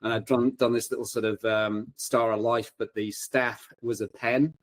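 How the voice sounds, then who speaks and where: A man's voice: mid-range voice, flat delivery, normal speed. A man talking casually as an interview guest, telling rambling, wry anecdotes and often breaking off mid-sentence. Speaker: podcast guest, paramedic and fiction writer.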